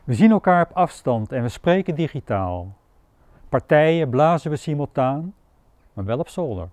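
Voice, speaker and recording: A man reciting his poetry in a measured, declamatory way. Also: fairly high pitch, sing-song, darkish tone, dry recording. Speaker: poet.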